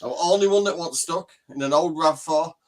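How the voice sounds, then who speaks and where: A man's voice: a little high, fairly quick. A man with a Northern English accent, chatting casually in a blunt, grumbling way. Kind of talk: casual chat, anecdotes.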